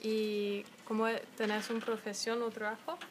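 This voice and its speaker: A woman interviewer putting prepared questions in Spanish in a careful, halting way. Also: a little high, flat delivery. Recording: loud background noise with clicks.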